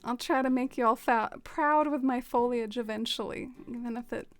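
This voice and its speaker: A woman's voice: a little high, moderate pace, dry recording, soft background music. A young woman from the American South with a Southern accent, chatting casually and self-deprecatingly while streaming a game. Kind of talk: casual live-stream chat while gaming.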